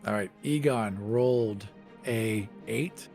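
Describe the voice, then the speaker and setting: A man's voice: on the low side, takes it slowly, darkish tone. A playful man hosting a podcast and telling the story of a tabletop game in a casual style. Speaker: podcast host and game player. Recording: music playing behind the voice.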